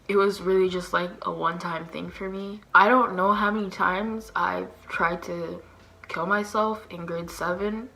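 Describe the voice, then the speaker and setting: A woman's voice: fairly low pitch, creaky, sharp tone, low-quality recording. A young woman talking casually and candidly to camera as a vlogger, in a slangy way with lots of fillers. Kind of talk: candid confessional chat to camera.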